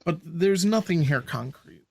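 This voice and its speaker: A man's voice: a little high, lively intonation, measured pace, creaky, breathy edge, no room sound, clean studio sound. A man co-hosting a podcast, giving blunt opinions in a casual, easygoing way. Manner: blunt, opinionated, laughs easily. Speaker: podcast co-host. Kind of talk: casual chat.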